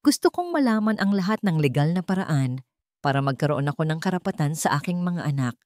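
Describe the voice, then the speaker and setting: A woman's voice: low-pitched, expressive, a little fast, darkish tone, dry recording, clean studio sound. Woman playing a determined, defiant rich son. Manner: firm, insistent. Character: determined, defiant billionaire son and young father.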